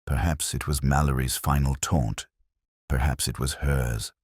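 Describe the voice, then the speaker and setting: A man narrating as a melancholy, world-weary police inspector, in a measured, literary and solemn way. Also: very deep voice, steady tone, husky, dry recording, clean studio sound, exaggerated voice. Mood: somber, reflective. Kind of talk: scripted first-person detective narration.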